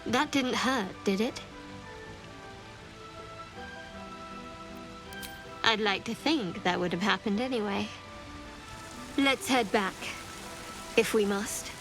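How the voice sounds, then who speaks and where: An actress playing a guarded, sardonic young female cleric of a dark goddess in a dramatic video game, speaking in a dry, cutting way. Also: mid-range voice, unhurried, pauses often, over music, lightly acted.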